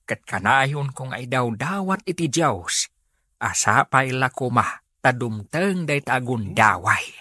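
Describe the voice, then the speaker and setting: Worried, prayerful Ilocano mother for radio drama: slow, plaintive, animated pitch. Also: breathy edge, no echo, heavily acted. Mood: worried, pleading. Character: anxious, prayerful mother of a wayward son.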